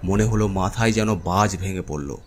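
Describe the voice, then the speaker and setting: A man's voice: deep voice, moderate pace, over music. A man reading a scripted horror story as its narrator.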